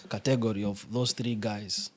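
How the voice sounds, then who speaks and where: A man's voice: fairly low pitch, steady tone, normal speed. A man hosting a podcast, asking questions casually, with frequent affirmations and some searching for words. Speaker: podcast host. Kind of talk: casual interview conversation.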